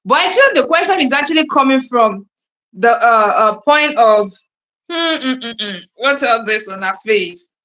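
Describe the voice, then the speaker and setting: A woman's voice: fairly high pitch, animated, normal speed, sharp tone, poor audio. A young Nigerian woman with a Nigerian accent hosting a casual livestream, chatting to viewers with lots of fillers, restarts and repeated phrases. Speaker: livestream host. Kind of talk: casual live stream chat reading viewer comments.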